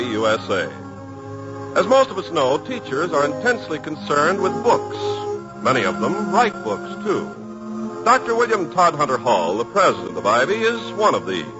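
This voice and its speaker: A man announcing and narrating a 1940s radio show in a smooth, formal manner, on a dull old broadcast recording. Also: a little high.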